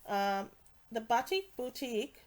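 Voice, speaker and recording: A woman's voice: on the high side, sing-song, a little slow. Chatty woman with a stuffy nasal voice. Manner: chatty, many fillers and restarts. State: congested and nasal. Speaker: stamping demonstrator. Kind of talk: casual product walkthrough.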